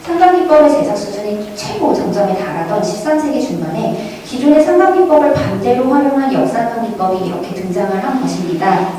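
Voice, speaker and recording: A woman presenting academic research at a conference in a measured, formal manner, reading from prepared notes. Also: on the high side, expressive, warm tone. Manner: measured, formal scholarly delivery. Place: large echoey lecture hall at a conference. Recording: very echoey hall, distant mic, faint noise.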